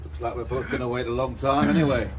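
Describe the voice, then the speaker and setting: A man acting a sardonic Welsh policeman, his voice even and mid-pitched, dropping veiled threats in a dry, amused tone. A faint hiss sits under this old radio play. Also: fast talker, close to an everyday voice. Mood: menacing. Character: menacing police officer, sardonic. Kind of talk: 1980s radio drama.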